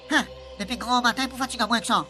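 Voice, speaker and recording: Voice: medium pitch, quick pace, bright, forceful tone, close to an everyday voice. A performer playing a dismissive, exasperated housemate with a Réunion Creole accent in a comic radio serial. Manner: exasperated, scoffing. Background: music.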